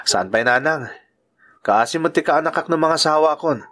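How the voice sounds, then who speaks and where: A man's voice: fairly high pitch, normal speed, sharp tone. A man with an Ilocano accent playing a good-natured bachelor uncle and devoted son in a radio-style drama. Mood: earnest.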